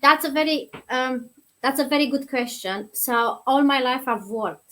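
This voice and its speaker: A woman's voice: sits high, moderate pace, a bit bright. A woman in her thirties from Romania, a guest on an online show, talking in a friendly, chatty way with many fillers. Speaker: guest, nursery teacher. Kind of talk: interview answers.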